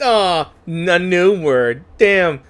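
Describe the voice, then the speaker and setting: A man's voice: high voice, lively intonation, slow pace, very noisy. A man giving casual, playful gameplay commentary as a gaming host, chatting and interjecting as he reacts.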